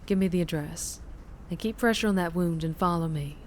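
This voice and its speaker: A woman's voice: lowish voice, a little fast, a little mellow, a little hiss or hum, lightly acted. A woman playing a fierce, flirtatious vampire biker with a Southern US accent, teasing and protective in an intimate ASMR roleplay. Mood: concerned, protective.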